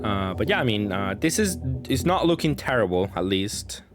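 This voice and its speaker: A man's voice: fairly low pitch, big pitch swings, normal speed. A man giving casual, improvised commentary on his own card game, thinking aloud hesitantly and often trailing off. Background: background music.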